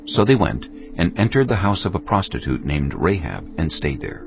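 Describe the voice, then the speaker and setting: A man narrating scripture from a script in a measured, dramatic storytelling manner. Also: low-pitched, big pitch swings, creaky. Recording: slightly dull audio over music. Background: music.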